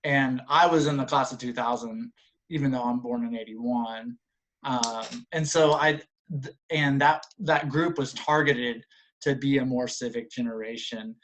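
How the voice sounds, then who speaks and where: A man in his late thirties with a medium-pitched voice talks casually as a panel guest. He is halting, and often breaks off and starts his sentences again. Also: fairly bright tone, poor audio. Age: late 30s. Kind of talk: casual chat.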